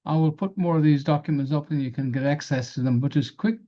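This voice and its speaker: A man's voice: fairly high pitch, fast talker, dark tone. Man explaining old documents, lo-fi.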